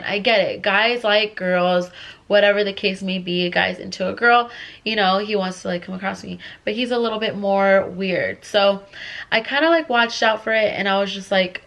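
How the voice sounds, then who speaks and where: A woman's voice: mid-range voice, normal speed, fairly bright tone. A young woman telling a casual storytime, congested from a cold, rambling with lots of fillers. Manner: rambling, filler-heavy, restless. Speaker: vlogger.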